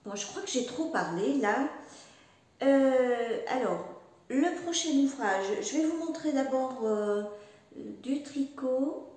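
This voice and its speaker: A woman's voice: sits high, animated, slow, darkish tone. A woman chatting casually about her knitting, hesitant and rambling, with many fillers and restarts. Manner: rambling, chatty, self-deprecating. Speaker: knitting and crochet podcaster. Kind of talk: casual chat showing knitwear. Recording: echoey room, voice from a distance.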